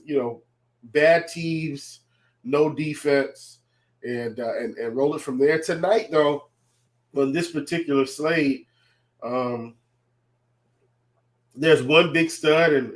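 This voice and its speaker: A man with a stuffy, congested voice from a cold, giving casual sports analysis with halting restarts. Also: mid-range voice. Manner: halting, many restarts and fillers.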